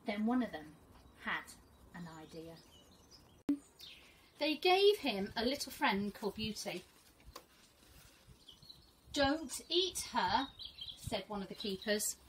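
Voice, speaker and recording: A middle-aged woman reads a children's story aloud like a teacher, expressive and deliberate, outdoors. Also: medium pitch. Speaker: first school teacher reading to pupils. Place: small fenced garden, outdoors. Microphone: camera a few metres away. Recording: outdoor camera audio, faint birdsong and noise.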